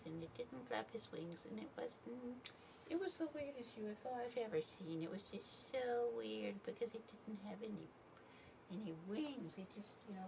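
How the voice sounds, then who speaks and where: A woman voicing a silly, puzzled little puppet lamb who rambles in a childlike, repetitive way in a soft improvised ASMR roleplay. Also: mid-range voice, gravelly. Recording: noisy home recording with steady hiss.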